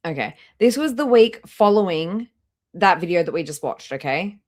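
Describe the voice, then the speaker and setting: A woman's voice: lowish voice, lively intonation, normal speed, darkish tone. A woman hosting a casual reaction livestream, chatting directly to her viewers as she comments. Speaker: livestream host reacting to videos.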